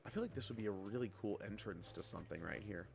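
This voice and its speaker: A man's voice: fairly low pitch, quick pace, creaky, music in the background. A man of twenty-seven chatting casually on a game livestream, bantering playfully and swearing freely. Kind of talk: casual livestream chat while gaming.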